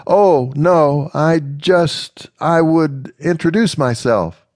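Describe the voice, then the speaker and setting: A man voicing a devout, timid young woman who speaks softly and hesitantly. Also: mid-range voice, big pitch swings, measured pace, no echo, clean studio sound, pitched above the performer's own voice. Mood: nervous. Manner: hesitant, soft-spoken.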